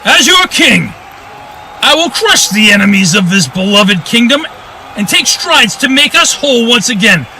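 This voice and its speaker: A man's voice: very high-pitched, big pitch swings, moderate pace, pushed and bright, full character voice, low-quality recording. An actor playing a commanding, vengeful new king who makes pompous proclamations in a fantasy audio drama. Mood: rousing, defiant. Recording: faint crowd and noise behind. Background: faint crowd ambience.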